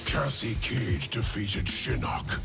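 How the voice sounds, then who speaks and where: A man's voice: mid-range voice, sing-song, slow pace, creaky, exaggerated voice. A man playing a menacing supernatural warrior in a video game, solemn and declamatory, bent on vengeance. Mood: cold menace. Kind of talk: video game villain acting. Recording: loud music underneath.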